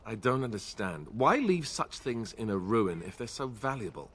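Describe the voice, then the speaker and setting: A man's voice: on the low side, big pitch swings, moderate pace, fairly bright tone, breathy edge, heavily acted. An actor playing a wry, flippant warrior who respects his commander, in a video game. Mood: earnest.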